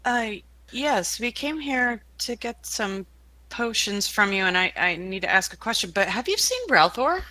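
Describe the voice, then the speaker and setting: A woman's voice: mid-range voice, animated, unhurried, sharp tone, no echo. A woman player speaking politely in character in a tabletop game, with frequent fillers. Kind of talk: in-character roleplay.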